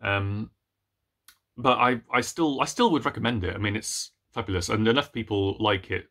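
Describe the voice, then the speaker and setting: A man in his thirties chatting casually to camera as a book vlogger, playful, rambling and animated, with self-deprecating asides. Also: fairly low pitch, normal speed. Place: small room at home with bookshelves.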